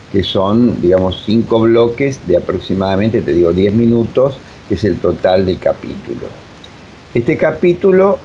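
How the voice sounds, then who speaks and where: An Argentine man answers interview questions in a low, dark voice. He explains things haltingly, repeating words. The recording is muffled with faint noise. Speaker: radio drama director being interviewed. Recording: dull lo-fi audio, faint hiss.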